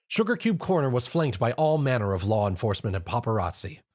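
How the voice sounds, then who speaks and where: A man's voice: medium pitch, very animated, quick pace, a little mellow. A man reading fan fiction aloud as narrator, telling the story expressively.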